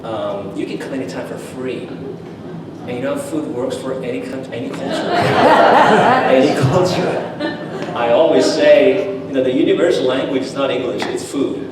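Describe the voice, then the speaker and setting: A man's voice: medium pitch, big pitch swings, moderate pace, heavy background noise. A man giving a personal testimony at a church seminar, telling stories animatedly with frequent restarts and fillers. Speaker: seminar speaker. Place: church hall. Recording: echoey hall, far from the mic.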